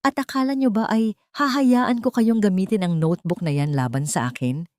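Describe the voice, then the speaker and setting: A woman's voice: medium pitch, moderate pace, a little mellow, no room sound, studio quality, voice pushed up, close to an everyday voice. A woman voicing a cruel, desperate female doctor who threatens and commands in an audio drama. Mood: bitter, defiant.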